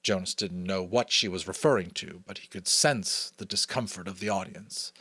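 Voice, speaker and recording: A man's voice: sits low, moderate pace, sharp tone, slightly rough, no echo. An American man reading an audiobook as dramatic narration, with theatrical, suspenseful phrasing. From United States. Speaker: audiobook reader.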